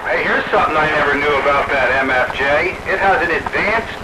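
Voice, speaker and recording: A man's voice: a little high, brisk. A man talking casually with other hobbyists over a radio. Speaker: radio hobbyist in a group chat. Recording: very noisy radio transmission. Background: loud radio noise.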